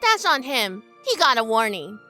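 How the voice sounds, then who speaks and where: A woman's voice: fairly high pitch, sing-song, moderate pace, pushed and bright, faint music behind. A woman playing a shrewd, sassy, hardboiled heroine narrating a detective audio drama. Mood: shrewd, cool. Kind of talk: first-person detective drama acting and narration.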